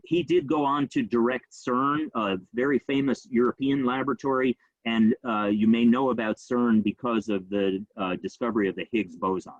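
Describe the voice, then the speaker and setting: A man giving an informal history talk, speaking quickly and conversationally with frequent ums and uhs. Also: mid-range voice, dry recording, muffled recording. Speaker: rabbi giving a history lecture.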